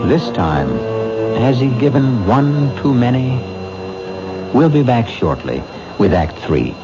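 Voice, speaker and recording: A man hosting a 1970s radio mystery show, narrating in a measured, wry, theatrical way. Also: on the low side. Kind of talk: scripted radio drama host narration. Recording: dull old radio broadcast with music.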